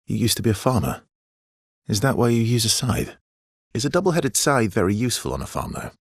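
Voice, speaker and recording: An actor playing a brooding, gently teasing, guilt-worn man in a video game, with a husky, gravelly low voice and halting, understated delivery. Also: big pitch swings, no echo, studio quality, exaggerated voice. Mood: wry, teasing. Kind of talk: naturalistic video game character dialogue.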